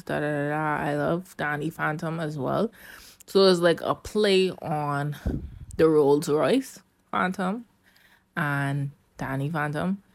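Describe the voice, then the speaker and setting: Chatty young woman, low voice, dry sound. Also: no echo. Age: young adult. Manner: chatty, laughing, talks straight to listeners. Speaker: podcast host. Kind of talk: casual solo podcast chat. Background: rain.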